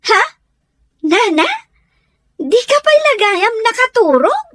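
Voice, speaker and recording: Woman acting high-pitched humble fish seller. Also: very animated, takes it slowly, a bit bright, no room sound, full character voice. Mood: plaintive, grateful. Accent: Ilocano. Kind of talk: Ilocano radio drama acting.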